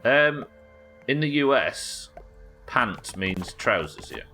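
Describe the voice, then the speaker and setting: A man's voice: mid-range voice, very animated, takes it slowly, pushed and bright, soft background music. A young British man hosting a live gaming stream, casually rambling and reading chat messages aloud as he plays. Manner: rambling, reads chat messages aloud and muses over them. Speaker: streamer host.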